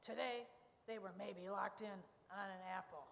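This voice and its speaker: A woman playing a matter-of-fact, defeated pie baker with a creaky voice in a live radio comedy. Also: mid-range voice, fairly even intonation, measured pace, a bit bright. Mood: resigned. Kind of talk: live comic radio-show acting. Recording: echoey hall.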